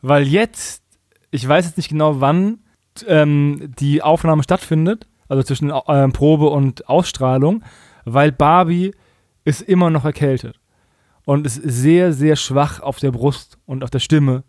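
A man's voice: on the high side, a little slow, a little mellow, no echo, clean studio sound. A man co-hosting a casual comedic recap podcast, slangy and mockingly acting out quoted dialogue. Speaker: podcast co-host.

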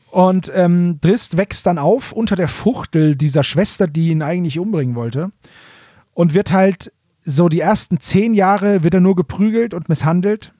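Male podcast co-host, fairly high voice, dry sound, loose colloquial explainer who corrects himself. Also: mellow, dry recording. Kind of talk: casual chat retelling a story.

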